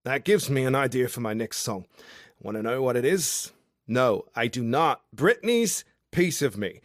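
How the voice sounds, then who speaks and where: A man's voice: mid-range voice, animated, unhurried, no room sound, clean studio sound, close to an everyday voice. A man voicing a cocky, tipsy young man from the outback, speaking in a brash, teasing, sing-song way.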